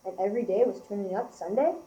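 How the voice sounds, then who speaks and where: A young teenage girl playing an excitable villager in a school radio play. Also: medium pitch, quick pace, muffled recording, lightly acted. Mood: eager. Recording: echoey amateur room recording.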